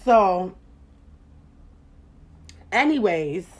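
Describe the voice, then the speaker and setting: A woman hosting a casual podcast chat, animated and profane, her voice jumping loud and high when she gets excited. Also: very slow, slight background noise. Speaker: podcast host.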